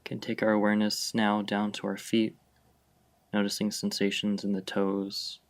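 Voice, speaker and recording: A man leading a guided meditation, giving gentle, unhurried instructions with long pauses between phrases. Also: lowish voice, completely flat delivery. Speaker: meditation guide, mindfulness fellow. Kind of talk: guided meditation, slow and spacious.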